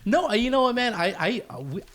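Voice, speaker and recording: A man's voice: very high-pitched, sing-song, fast talker, fairly bright tone. A man hosting a casual podcast, swearing freely and repeating himself in slangy talk. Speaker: podcast host. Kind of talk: casual group banter, profane. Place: garage.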